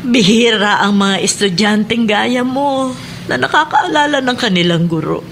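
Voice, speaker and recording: A woman's voice: fairly high pitch, measured pace, fairly bright tone, a little hiss or hum. A woman playing a kindly, proud middle-aged schoolteacher in a Philippine radio drama, with an emotional, motherly manner and a Filipino accent. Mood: proud, moved. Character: kindly female schoolteacher, warmly proud of former pupil.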